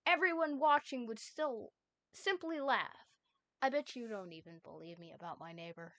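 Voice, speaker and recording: A woman streamer voicing a frightened, desperate teenage boy who is never believed, with pleading, rushed outbursts. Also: a little high, lively intonation, fairly bright tone. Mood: bitter. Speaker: streamer reading a fanfic aloud. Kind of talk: dramatic fanfic reading.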